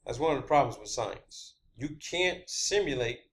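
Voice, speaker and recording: A man's voice: medium pitch, lively intonation, moderate pace. A man hosting his own video channel, talking off the cuff in an emphatic, explanatory monologue and repeating phrases for stress.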